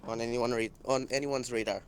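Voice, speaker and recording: A man's voice: mid-range voice, fairly even intonation, fairly quick. A man from Guyana, chatting casually as a guest on an online voice call. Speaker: guest caller in an online community chat. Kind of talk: casual chat. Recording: faint noise, online voice call.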